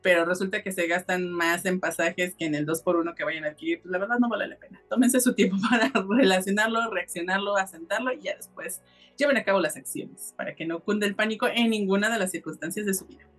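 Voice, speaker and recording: A woman's voice: medium pitch, quick pace, a bit bright, faint music behind. A woman co-hosting a podcast in casual discussion, speaking directly to listeners with advice. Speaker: podcast co-host.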